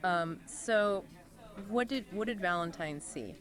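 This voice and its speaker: Slightly low-voiced woman, casual podcast expert. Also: lively intonation, takes it slowly, a bit bright. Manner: conversational, agreeable. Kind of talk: casual explanatory chat.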